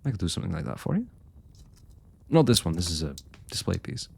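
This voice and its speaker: A man's voice: deep voice, very animated, fast talker, creaky, breathy edge, full character voice. A man playing a young royal blacksmith in an intimate fantasy roleplay, speaking to the listener in courtly, hesitant, old-fashioned phrasing. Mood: enamored, flustered. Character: young male royal blacksmith, smitten, forthright, proud working man.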